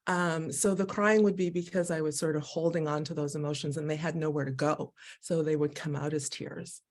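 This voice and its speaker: A woman speaking as a guest on a live video call, telling her own story in an animated, expressive way and often laughing as she talks. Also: deep voice, a little fast. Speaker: guest, Human Design educator. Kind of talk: candid personal conversation.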